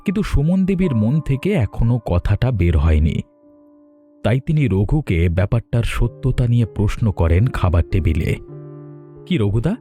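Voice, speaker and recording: Mellow, expressive male story narrator. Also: lowish voice, moderate pace, soft background music. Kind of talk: scripted horror cartoon story narration.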